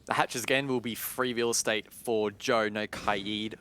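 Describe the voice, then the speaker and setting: A man's voice: on the low side, fairly even intonation, a little slow, bright, forceful tone, slight background noise. A man giving live esports commentary as an analyst, explaining play in an analytical way. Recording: music behind the voice. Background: music.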